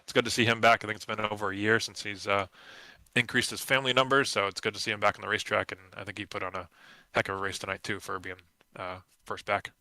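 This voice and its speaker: A man's voice: lowish voice, level tone, fast, sharp tone, no echo, low-quality recording. A man from New England, the race winner, talking confidently in a post-race interview with plenty of fillers. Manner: confident, competitive, lots of fillers.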